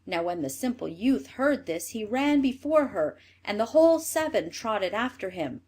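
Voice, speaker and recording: A woman reading a scripted fairy tale as a storyteller, in an expressive manner. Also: mid-range voice, moderate pace.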